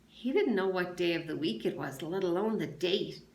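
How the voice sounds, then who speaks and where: A woman's voice: lowish voice, lively intonation, normal speed, darkish tone. A woman, a teacher, reading a children's novel aloud with expression. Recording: echoey room sound.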